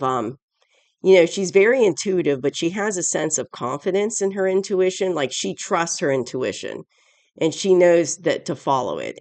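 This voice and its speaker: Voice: medium pitch, no room sound. A tarot reader hosting a casual weekly reading with a stuffy, congested voice from allergies and a sore throat, rambling and correcting phrases along the way. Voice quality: stuffy, odd-sounding voice. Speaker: tarot reader, channel host.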